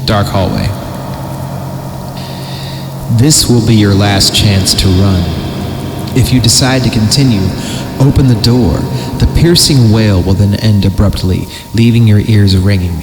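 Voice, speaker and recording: A man's voice: lowish voice, normal speed, background noise. A man hosting a horror story podcast, narrating theatrically and breaking off for joking asides when he stumbles. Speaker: podcast host reading horror stories.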